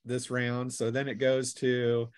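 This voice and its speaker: A man narrates a tabletop game as its game master. His mid-pitched voice moves slowly and casually, with playful sound effects, and the recording is dry. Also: monotone, darkish tone, smooth, no echo.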